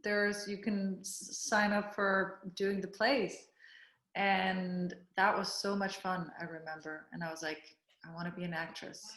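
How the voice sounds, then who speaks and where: A Danish actress in her thirties, a guest in a casual interview, speaking with pauses and searching for words, laughing often. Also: mid-range voice, level tone, a bit bright. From Denmark. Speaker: guest actor. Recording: roomy echo.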